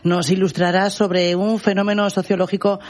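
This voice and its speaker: A woman's voice: medium pitch, little pitch movement, normal speed, darkish tone. A woman co-hosting a radio show, formally and courteously reading the program rundown.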